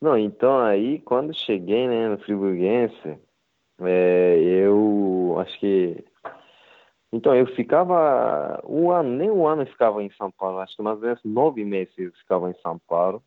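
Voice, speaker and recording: A man whose first language is Japanese, speaking halting Portuguese with a Japanese accent and many fillers in a casual interview. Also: lowish voice, expressive. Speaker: interviewed footballer. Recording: tinny phone-line sound.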